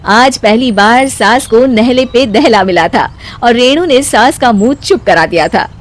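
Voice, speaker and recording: A woman's voice: fairly high pitch, unhurried. A woman narrator reading a scripted domestic comic story.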